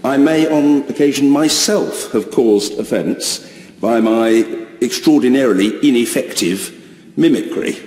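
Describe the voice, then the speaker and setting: Orotund man giving a deliberate ruling. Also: medium pitch, darkish tone. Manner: orotund, deliberate, elaborate phrasing. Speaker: speaker of the house. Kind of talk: formal chairing ruling. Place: large debating chamber. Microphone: chamber microphone, seated. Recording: roomy chamber with long reverb.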